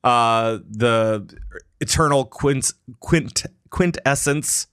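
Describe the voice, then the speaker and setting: A man hosts a gaming chat show in a middle-pitched voice. He rambles, piles on fillers and restarts, and keeps things easygoing. The sound is dry and close, with no room around it. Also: extremely slow, a bit bright, clear, resonant tone, dry recording. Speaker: podcast host. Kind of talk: casual gaming podcast chat.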